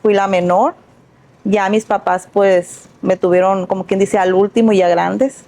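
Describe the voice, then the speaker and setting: Middle-aged woman, warm dark tone, reflective, interview guest. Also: mid-range voice, steady tone, a little slow. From Sinaloa, Mexico. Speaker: guest, entrepreneur. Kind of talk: casual interview storytelling.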